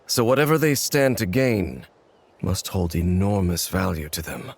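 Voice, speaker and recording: An actor playing a brooding, coldly determined warrior in a video game, speaking in a measured, solemn, formal way. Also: male voice, deep voice, very animated, a little hiss or hum, heavily acted. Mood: grave, suspicious. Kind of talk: English video game voice acting. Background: background music.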